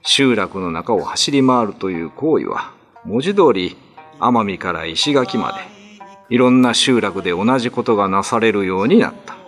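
A man's voice: lowish voice, a bit bright. A man narrating a classical ghost story for radio drama in a theatrical, ominous, scripted style. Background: music.

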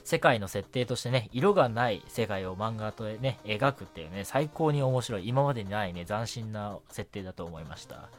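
A man's voice: lowish voice, animated, normal speed, hardly any pauses, faint music behind. A man hosting a night radio show, chatting casually and politely on his own, often punctuating his talk with short affirmations. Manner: chatty, polite presenter phrasing with frequent short self-affirmations. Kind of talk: casual solo radio talk about anime and manga.

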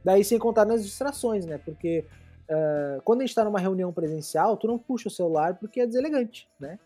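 A woman's voice: mid-range voice, animated, fairly quick, dark tone, husky, faint music behind. A woman co-hosting a podcast, explaining things in a rambling, casual way with many restarts and tag questions. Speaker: podcast co-host. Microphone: live streamed podcast.

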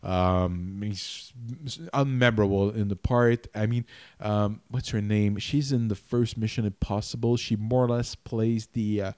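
A man's voice: lowish voice, fairly even intonation, measured pace, few pauses, darkish tone, smooth, no room sound. A man co-hosting a casual film discussion, often breaking off and restarting his sentences mid-thought. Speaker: podcast co-host.

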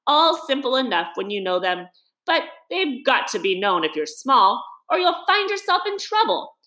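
Woman as a hearty storybook water rat, pitched up. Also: very animated, moderate pace, sharp tone, exaggerated voice. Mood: soothing, advising. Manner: soothing, chummy, cheerful. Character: kindly, brave male water rat, hearty and sensible. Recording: roomy, echoing reading.

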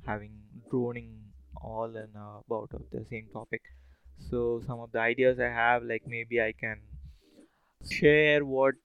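A man's voice: medium pitch, little pitch movement, measured pace, smooth, dry recording, dull audio. A man hosting a podcast solo, talking casually in a rambling, hesitant, informal way. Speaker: podcast host.

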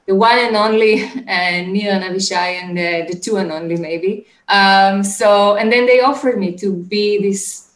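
A middle-aged woman with an Israeli accent speaks in a conversational interview style. Her pitch is medium and her phrasing is a little halting. The room around her echoes noticeably. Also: steady tone. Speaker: interview guest, startup executive. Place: home office, remote call.

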